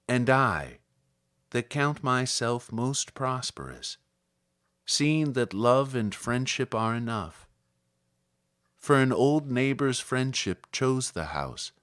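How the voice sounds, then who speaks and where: Slow, measured man reciting poetry. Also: mid-range voice, fairly bright tone, no room sound, studio quality. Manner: measured, solemn delivery with deliberate phrasing. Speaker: poetry reader.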